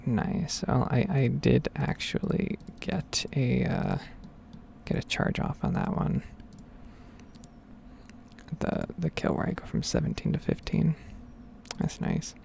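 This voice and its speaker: A woman giving whispered and soft-spoken ASMR game commentary in a breathy, rambling, unhurried way. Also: rumbling low, huge pitch swings, a little hiss or hum. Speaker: gaming ASMR video creator.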